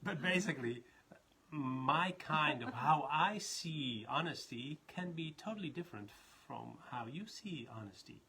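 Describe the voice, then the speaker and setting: A man's voice: a little high, animated, unhurried, sharp tone, a little hiss or hum. A man from the Netherlands with a Dutch accent, a leadership trainer talking in a loose, rambling way with many restarts. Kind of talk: casual live talk.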